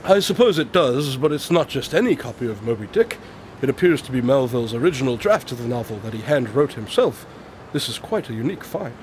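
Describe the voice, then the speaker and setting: A man's voice: mid-range voice, big pitch swings, fairly quick, a little hiss or hum, natural voice. An actor as a precise, aloof male detective. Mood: dry, measured. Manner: precise, formal, matter-of-fact. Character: brilliant, aloof male detective explaining his deductions. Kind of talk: radio drama acting.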